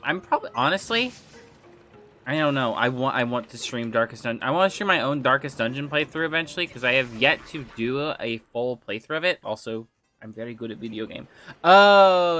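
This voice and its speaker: Bright-voiced rambling male game streamer. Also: mid-range voice, lively intonation, normal speed, a little hiss or hum. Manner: rambling, trailing off and restarting, chatty with viewers. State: slightly phlegmy throat. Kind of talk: casual livestream chat while gaming.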